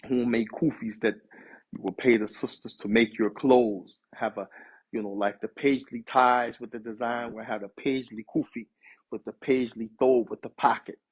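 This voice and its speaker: Husky-voiced man preaching casually. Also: medium pitch, steady tone, moderate pace, dull audio. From US East Coast. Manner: conversational, street-inflected preaching with Arabic phrases. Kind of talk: sermon.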